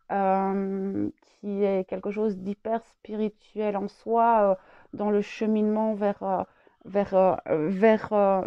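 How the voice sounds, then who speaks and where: A woman's voice: medium pitch, dark tone, clear, resonant tone, dull audio. A French woman with a head cold, channeling a spiritual message, hesitant with many fillers and repeated words.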